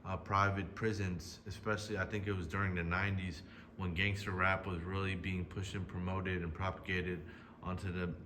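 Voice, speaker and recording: A man commenting casually on a video he is reacting to, talking in a hesitant, halting way with many fillers and restarts. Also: deep voice, level tone, background noise. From United States.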